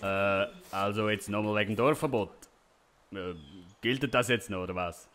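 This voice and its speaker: An actor playing a gruff, curt Swiss folk hero in a Swiss German comic audio drama, blunt and dismissive. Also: male voice, lowish voice, steady tone, normal speed, a bit bright, natural voice. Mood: defensive, clueless.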